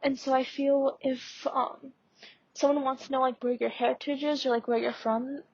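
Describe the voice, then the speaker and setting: A woman's voice: high-pitched, little pitch movement, moderate pace, slightly rough, no room sound. A young woman from India, a student mentor chatting casually in a podcast discussion with lots of fillers. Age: young adult. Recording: dull lo-fi audio.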